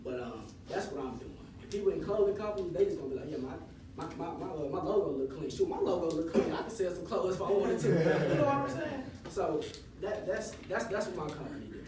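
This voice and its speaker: A man in his twenties giving a casual, encouraging motivational talk to high school students and leading them in chants. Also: big pitch swings, quick pace. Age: 20s. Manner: casual, encouraging, leads call-and-response chants. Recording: echoey hall, voice from far off, audible room noise.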